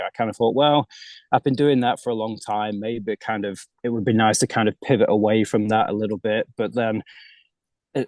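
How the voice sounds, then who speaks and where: A man from the UK talks about coaching kids as an interview guest. His voice sits fairly low. He speaks casually, often repeating words as he restarts, and the sound is dry with no room echo. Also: a little mellow. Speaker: golf coach abroad, guest.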